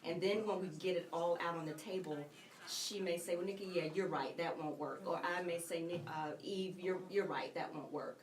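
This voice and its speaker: A woman guest on a radio talk show explains things steadily in a fairly low voice. She is recorded from a distance in an echoey room. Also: steady tone, normal speed. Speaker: guest, network co-founder. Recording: roomy and distant from the mic.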